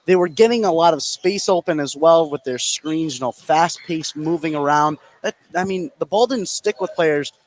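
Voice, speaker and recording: A man's voice: a little high, normal speed, hardly any pauses. A man giving conversational, approving analysis as color commentator on a live basketball broadcast. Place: indoor high school gym. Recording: live broadcast with crowd noise. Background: loud crowd.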